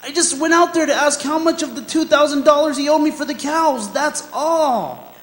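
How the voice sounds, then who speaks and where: Condemned prisoner played by a man, very high voice, anguished and halting; radio drama in a reverberant hall with music. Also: fairly bright tone. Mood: anxious, pleading. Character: condemned man protesting innocence, frightened, pleading, brooding. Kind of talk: stage radio drama acting, with dramatic monologue. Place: performing arts center stage. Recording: echoey hall, live stage performance, music behind.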